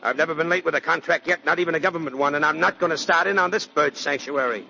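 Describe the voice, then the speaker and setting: A pushy, hard-driving boss from a 1940s radio play. He talks fast and brusquely with a gravelly edge, on a muffled recording. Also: fairly high pitch, bright, forceful tone, full character voice. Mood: demanding. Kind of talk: 1940s radio drama acting. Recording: muffled 1940s radio, crowd noise behind.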